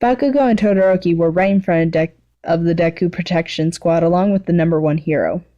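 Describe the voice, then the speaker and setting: A woman's voice: lowish voice, fast talker, dark tone, highs cut off. A woman narrating fan fiction in a casual, amateur reading style, stumbling now and then and correcting herself.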